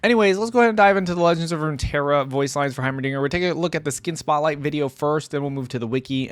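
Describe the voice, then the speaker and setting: A man's voice: on the high side, dry recording. A man giving casual reaction commentary as a host, talking informally and thinking aloud as he goes.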